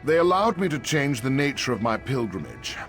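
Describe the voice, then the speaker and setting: A man voicing a weary, cautious old survivor in a fantasy video game, speaking gravely and with doubt. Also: medium pitch, sing-song, brisk, a bit bright. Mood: regretful. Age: older. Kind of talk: AI-voiced fantasy game dialogue. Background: game music.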